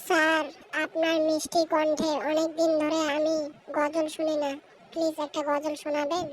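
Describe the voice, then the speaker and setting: A woman's voice: sits high, completely flat delivery, normal speed, lightly acted. A woman with a Bangladeshi accent voicing a polite, eager, chatty female office assistant in an amateur cartoon. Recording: very noisy recording with clicks.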